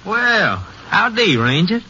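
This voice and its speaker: An actor playing an excitable young ranch hand with folksy slang and a stammer, in old radio western style. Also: male voice, high voice, slow, slight background noise, full character voice. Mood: cheerful, chuckling. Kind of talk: 1950s radio drama acting. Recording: dull vintage radio recording.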